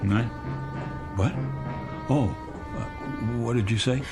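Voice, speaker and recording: A man's voice: mid-range voice, slow pace, music in the background. A man acting in a radio drama as a weary, bewildered businessman on a train, hesitant and stammering. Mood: dazed.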